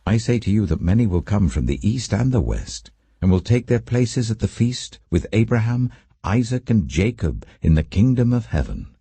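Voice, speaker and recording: A British man voicing a calm, authoritative holy teacher in a scripture audiobook, speaking gravely and deliberately. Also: lowish voice, dry recording, pitched above the performer's own voice, full character voice. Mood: solemn authority.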